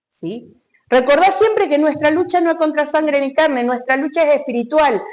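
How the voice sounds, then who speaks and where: High, lively woman preaching. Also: rough recording. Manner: emphatic, questions the congregation directly. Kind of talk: sermon.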